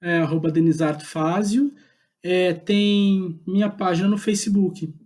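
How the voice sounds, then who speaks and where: A man's voice: high-pitched, fairly even intonation, darkish tone. A Brazilian man speaking as a guest playwright in a casual, reflective interview, searching for his words as he goes. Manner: thoughtful, halting, searching for words. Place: remote online call.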